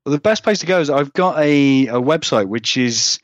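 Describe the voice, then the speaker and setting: A man's voice: mid-range voice, lively intonation, normal speed, no echo, highs cut off. A man chatting casually as an interviewed author, rambling and self-deprecating, with many hedges as he goes. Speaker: guest author being interviewed.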